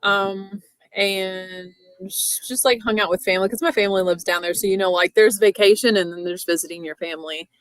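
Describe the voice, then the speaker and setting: A woman's voice: mid-range voice, fairly even intonation, a little fast, no room sound. A woman co-hosting a casual chat over a video call, talking in a loose, rambling way full of "like". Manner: chatty, many fillers like "like". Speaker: podcast co-host.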